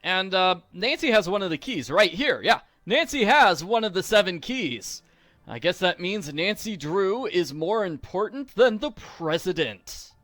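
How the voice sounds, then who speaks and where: A man's voice: high voice, big pitch swings, measured pace, fairly bright tone, no room sound. A man doing playful game walkthrough commentary with a goofy, mock-serious manner.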